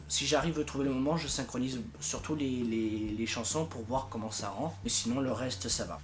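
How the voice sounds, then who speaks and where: A man with a mid-range voice talks informally to online followers. His delivery is unscripted, rambling and halting. Music plays clearly behind him. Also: mid-range voice. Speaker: amateur fandub project leader and YouTuber. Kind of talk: casual, unscripted video update to followers.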